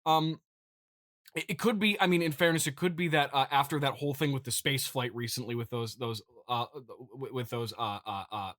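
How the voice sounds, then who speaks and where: A man hosting a comedy podcast, improvising a halting, mock-earnest monologue in which he stammers and repeats his words. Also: mid-range voice, fairly bright tone, dry recording, clean studio sound. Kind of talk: improvised comedic monologue reading listener feedback.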